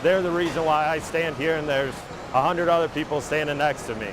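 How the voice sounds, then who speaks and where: An American man with a fairly high voice talks in a news interview. Clear vehicle and plant noise runs behind this employee. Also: fairly even intonation, fairly quick. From Ohio, United States.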